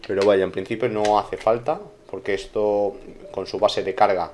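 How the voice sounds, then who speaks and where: A man with an accent from Spain narrating a casual unboxing review in an informal way, pausing and repeating himself. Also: fairly low pitch, steady tone, background noise. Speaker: video reviewer. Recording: music behind the voice. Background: background music.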